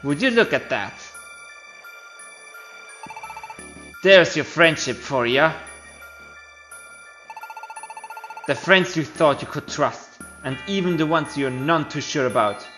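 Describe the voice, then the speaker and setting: A man's voice: a little high, expressive, normal speed, sharp tone. A man voicing a smug, taunting villain creature with a folksy drawl in a game story, with music behind him. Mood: gloating. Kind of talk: voiced video game story playthrough.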